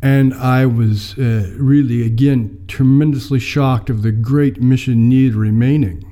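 An American man in his fifties, telling how he came to mission work in a measured, filler-laden interview. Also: mid-range voice, fairly even intonation, warm tone. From United States. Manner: measured storytelling with many fillers. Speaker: mission mobilizer, guest. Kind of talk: personal testimony in an interview.

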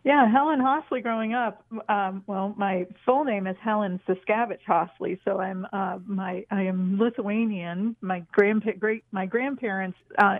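A woman's voice: medium pitch, no echo. Woman in her sixties on a tinny phone line. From northern New York, USA. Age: 60s. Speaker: guest, author and former park ranger. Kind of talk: casual storytelling in an interview.

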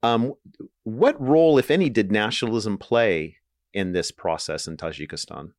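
Deep-voiced man asking interview questions. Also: expressive, moderate pace, no room sound, studio quality. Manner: hesitant, restarts mid-question.

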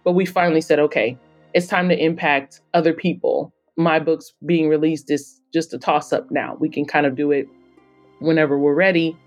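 A woman's voice: deep voice, steady tone, normal speed, muffled recording, faint music behind. A woman speaking conversationally as a guest in a podcast interview, explaining her work. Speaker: podcast guest, author and editor-in-chief.

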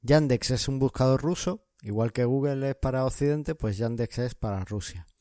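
Male co-host, warm even tone, dry mic, talks listeners through advice. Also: medium pitch, normal speed, no echo. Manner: explanatory, advising listeners. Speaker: podcast co-host. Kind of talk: casual chat.

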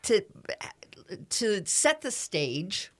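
A woman hosting a radio talk show in a studio, talking earnestly and at a measured pace, with many fillers. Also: medium pitch, sing-song.

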